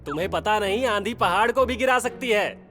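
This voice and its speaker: A man voicing a chatty, dramatic rescue train sidekick in an animated children's series dub. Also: high voice, normal speed, soft background music.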